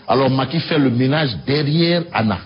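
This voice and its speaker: A man's voice: mid-range voice, moderate pace, lo-fi sound. A man co-hosting a morning TV show, reviewing newspapers in a joking way and repeating his phrases. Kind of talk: casual press review banter.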